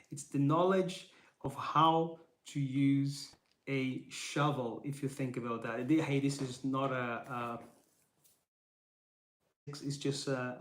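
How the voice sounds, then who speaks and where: A man whose first language is Portuguese gives a thoughtful teaching talk, pausing to search for words and often restarting his sentences. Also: mid-range voice. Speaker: Bible teacher. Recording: roomy echo.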